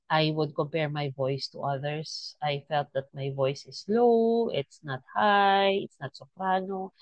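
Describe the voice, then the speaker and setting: Slow, earnest woman podcast host. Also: sits low, no room sound, low-quality recording. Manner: earnest, encouraging, with many restarts and pauses. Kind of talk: reflective faith podcast talk.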